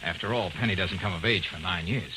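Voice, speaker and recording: An actor playing a tough, wisecracking private detective in 1940s American radio drama, with brisk clipped delivery. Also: male voice, sits low, natural voice. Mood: matter-of-fact. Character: wry, tough, quick-witted male private detective. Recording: noisy vintage radio drama recording.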